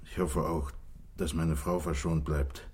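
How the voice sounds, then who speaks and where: An actor playing a contrite, desperate fugitive from a wealthy life, earnest and pleading, in 1960s radio play style. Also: male voice, very deep voice, steady tone, a little slow, slightly rough, full character voice. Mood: subdued, pleading.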